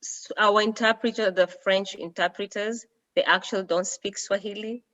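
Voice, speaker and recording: A woman's voice: medium pitch, fairly even intonation, moderate pace, fairly bright tone. A woman hosting a video call session, speaking with some hesitation and fillers. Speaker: session host. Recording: dull lo-fi video call audio.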